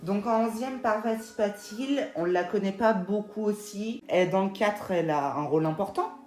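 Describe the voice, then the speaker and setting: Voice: medium pitch, moderate pace, darkish tone, echoey room, faint music behind. A speaker co-hosting a casual ranking video, reading out the entries in a matter-of-fact way. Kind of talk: casual scripted chat.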